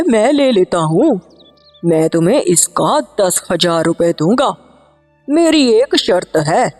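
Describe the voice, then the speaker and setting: A woman acts out a greedy cartoon shopkeeper, a sly man always bargaining. The delivery is slow, in long reverb with music underneath. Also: mid-range voice, darkish tone. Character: greedy, sly male shopkeeper buying animals.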